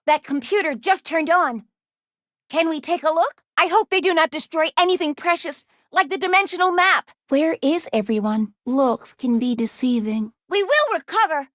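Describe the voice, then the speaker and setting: Game role: young female robot, high voice, very dry recording, earnest, self-doubting, formal and precise. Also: a bit bright, no room sound. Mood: polite, curious, then somber, subdued. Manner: formal, precise, careful diction without contractions. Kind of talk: video game character voice lines.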